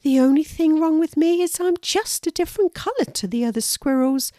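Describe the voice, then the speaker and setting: A woman's voice: high-pitched, lively intonation, brisk, mellow, no room sound, clean studio sound, voice pushed up. A woman voicing a small, shy, earnest young female squirrel in a children's storybook, with a little, apologetic voice. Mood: earnest, upbeat. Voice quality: little, thin voice.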